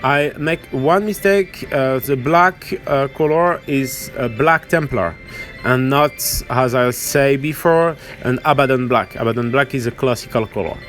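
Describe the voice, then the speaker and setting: French-accented man, slow halting speech. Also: medium pitch, fairly bright tone, faint music behind. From France or French-speaking region. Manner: hesitant, halting, searching for words. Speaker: miniature painting tutorial host. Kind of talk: informal hands-on tutorial commentary.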